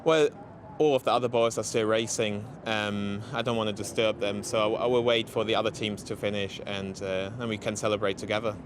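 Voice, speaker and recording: A man with a German accent, an athlete giving an interview, speaking haltingly with many fillers and restarts. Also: fairly low pitch, steady tone, noisy background. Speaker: interviewed cyclist. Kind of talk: post-race interview.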